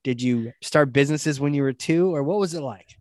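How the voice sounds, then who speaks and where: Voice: medium pitch, fairly quick, a little mellow, dry recording. Dry, close-sounding interview host. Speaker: podcast host. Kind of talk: casual interview chat.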